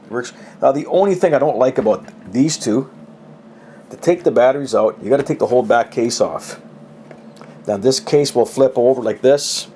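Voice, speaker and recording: A man's voice: mid-range voice, moderate pace, mellow, slight background noise. A middle-aged man giving a casual, rambling, folksy show-and-tell of his gadgets, with a self-deprecating way of talking. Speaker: hobbyist presenter.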